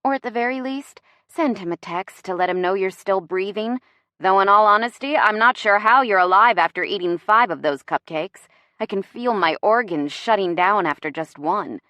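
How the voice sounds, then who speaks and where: A woman's voice: mid-range voice, normal speed, pushed and bright, no room sound, lightly acted. A woman voicing a young woman who is a blunt, playful and supportive best friend in a novel. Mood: bossy, playful.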